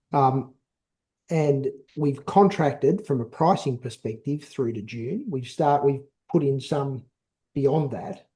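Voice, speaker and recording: Mellow-voiced male executive on a call. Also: mid-range voice. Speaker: company executive answering analyst questions. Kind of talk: investor call question and answer.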